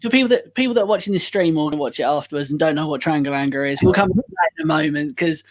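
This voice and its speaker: Chatty interviewer man, slightly high voice. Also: very animated, fast. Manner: chatty, friendly teasing, stumbling restarts. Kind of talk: casual interview.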